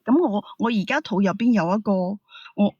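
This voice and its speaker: A woman's voice: medium pitch, moderate pace, dark tone, breathy edge, no room sound, muffled recording. A woman caller defending herself in an argument, complaining that she is being pushed. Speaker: caller, accused wife.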